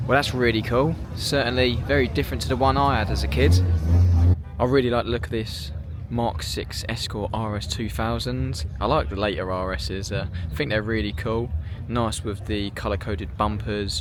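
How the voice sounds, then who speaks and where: A man hosting a vlog, a bit croaky, chatting upbeat and admiring as he walks around an outdoor car show. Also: lowish voice. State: slightly croaky voice. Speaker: vlog host. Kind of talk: casual walkaround commentary. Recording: music playing over the voice. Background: background music.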